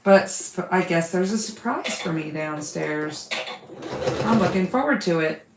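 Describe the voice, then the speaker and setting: A woman's voice: lowish voice, expressive, unhurried, roomy sound, background noise. A woman who has lost her voice, hosting a quilting livestream, chatting casually with viewers and reading their comments while she sews.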